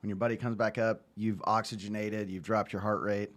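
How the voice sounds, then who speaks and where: A man's voice: fairly low pitch, little pitch movement, fairly quick, a bit bright. A man co-hosting a podcast in a casual, conversational style. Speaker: podcast co-host, military veteran. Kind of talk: casual chat.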